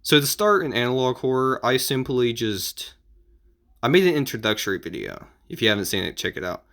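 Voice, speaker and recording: A man giving casual advice as an amateur video creator, explaining in an informal, halting and repetitive way. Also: medium pitch, expressive, creaky, a bit bright.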